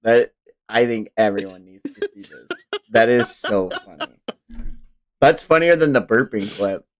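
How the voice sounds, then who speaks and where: A male streamer narrates a manga read-along with very lively pitch and unhurried pacing. His dramatic reading slips into casual, profane asides. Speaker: livestream host and reader.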